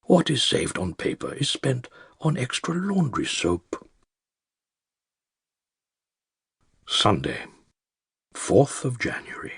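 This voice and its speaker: An actor playing an elderly male care-home resident with a British accent, narrating his secret diary in a dry, wry, measured and articulate way with a gravelly, husky voice. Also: mid-range voice, wildly swooping pitch, fairly bright tone, no room sound, full character voice. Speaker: audiobook narrator. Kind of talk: scripted first-person diary narration.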